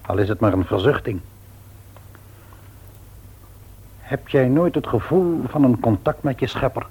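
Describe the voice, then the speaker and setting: A man playing an earnest, patient, devout middle-aged father in a radio play, with measured delivery and a rough-edged husky voice. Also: medium pitch, expressive, a little mellow. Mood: sombre, resigned. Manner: measured, grave, deliberate. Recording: old radio play recording.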